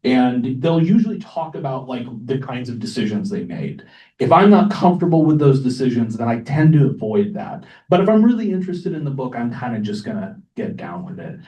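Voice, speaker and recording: Guy on a panel, mid pitch, mellow, informal and a bit hesitant, echoey, away from the mic. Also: very animated, brisk, muffled recording. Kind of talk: casual unscripted answer to an audience question. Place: echoing library event room. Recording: roomy, distant microphone.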